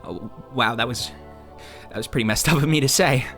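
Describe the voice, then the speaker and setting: A man playing a timid young male alien troll in an audio drama, halting and stammering, eager to please and unsure of himself. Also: medium pitch, fairly bright tone, faint music behind, natural voice. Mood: nervous, apologetic. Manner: halting, stammering, self-doubting. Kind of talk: audio drama monologue and dialogue.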